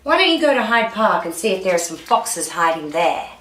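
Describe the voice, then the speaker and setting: A woman's voice: lowish voice, big pitch swings, a little fast, a bit bright, a little hiss or hum. A woman voicing a serious, formal and frank palace guard in a children's storybook. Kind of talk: children's storybook read-aloud. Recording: echoey room.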